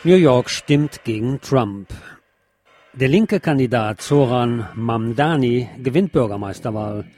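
Male radio news reader, medium pitch. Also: animated, slow, darkish tone. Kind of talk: scripted news reading.

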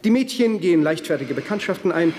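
Male actor, preachy churchman, solemn declamation, radio play, long hall reverb, slightly high voice. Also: animated, quick pace, a little mellow, slight background noise, natural voice. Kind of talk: radio play acting, formal speech.

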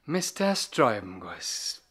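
Officious, bright-voiced police inspector. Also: male voice, lowish voice, big pitch swings, slow pace, husky, exaggerated voice. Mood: stern, blustering. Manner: officious, repetitive, emphatic. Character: officious, blustering, bumbling male police inspector. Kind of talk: 1960s German radio comedy acting.

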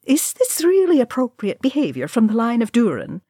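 A woman's voice: on the high side, huge pitch swings, normal speed, warm tone, breathy edge, no echo, voice pushed up, exaggerated voice. A proper, haughty dwarf lady of a fantasy storybook, as a woman reader acts her, scolding primly. The voice is raised well above the reader's own and sits dry. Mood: disdainful. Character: stern, disapproving, proper dwarf matron.

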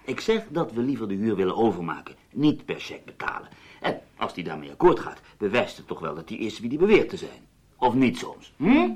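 Smug husband, husky and animated. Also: male voice, on the low side, a little fast. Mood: smug, insistent. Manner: sarcastic endearments, condescending. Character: stubborn, smugly mocking husband, placating and determined. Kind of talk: Dutch radio play acting.

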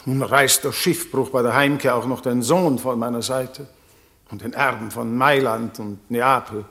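A sorrowful, weary king in a radio play. His voice is of middle pitch. The delivery is solemn and mournful, as of a ruler worn down by grief. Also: steady tone, normal speed, gravelly, fairly bright tone. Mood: grieving. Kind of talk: German radio play acting.

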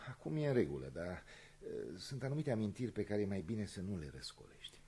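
An actor playing a polite but defensive playwright in a radio play, speaking in a courteous, guarded way. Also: male voice, fairly low pitch, sing-song, warm tone, husky. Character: polite, defensive male playwright under suspicion.

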